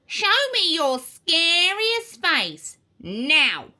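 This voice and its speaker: A woman's voice: very high-pitched, big pitch swings, very slow, pushed and bright, voice pushed up, exaggerated voice. A woman voicing a sneering, mocking witch schoolteacher in a children's storybook, with a commanding, cackling manner. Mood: bossy, snarling.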